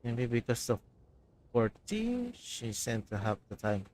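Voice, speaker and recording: A man's voice: mid-range voice, huge pitch swings, unhurried, a little mellow, dry recording. A man with a Filipino accent reacting casually as a game streamer. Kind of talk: casual gameplay reaction chat. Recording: game music over the voice.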